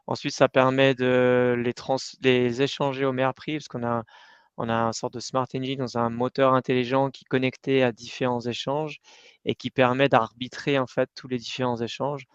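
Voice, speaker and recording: A middle-aged man speaks in a smooth, clean voice that barely rises or falls. He chats casually as an interview guest, full of fillers and restarts. The recording is dry and a little dull. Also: mid-range voice, normal speed, dry recording. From Iran and Switzerland, raised in the United States. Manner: animated storytelling with many fillers and restarts. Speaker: company cofounder, interview guest.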